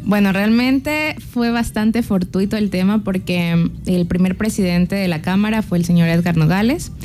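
A woman's voice: medium pitch, darkish tone, slight background noise. Proud Ecuadorian woman in her thirties. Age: 30s. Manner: friendly, proud and laughing easily. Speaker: business chamber president. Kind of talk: interview answers.

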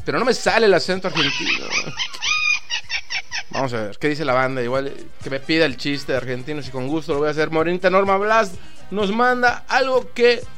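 A Mexican man hosting a live online radio show, rambling through shout-outs and jokes in a chatty, loose way. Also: fairly high pitch, huge pitch swings, a little fast. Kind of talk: casual live radio chat with greetings. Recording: faint music in the background.

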